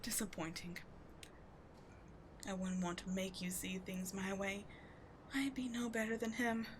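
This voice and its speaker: A woman playing an obsessive, devoted villain in a dramatic ASMR roleplay, speaking with theatrical intensity that moves between forceful and hushed. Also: medium pitch, flat delivery, a little slow, noisy background, natural voice. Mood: wounded, resolute. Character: obsessive, devoted female villain wooing a wounded sidekick.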